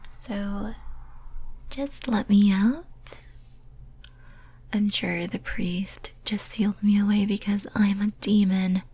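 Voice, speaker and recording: A lonely, clingy female demon sealed in a cage, played by a woman for an ASMR roleplay. The voice is an extremely breathy, soft whisper with a dark, mellow tone. The delivery is slow, hesitant and tenderly pleading. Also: mid-range voice, steady tone. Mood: pleading, defensive.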